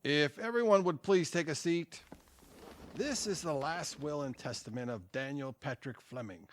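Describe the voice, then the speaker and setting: A man playing a formal, apologetic family lawyer in an audio drama, speaking in measured, polite phrases. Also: fairly high pitch, a little hiss or hum, close to an everyday voice. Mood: officious. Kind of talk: audio theatre acting.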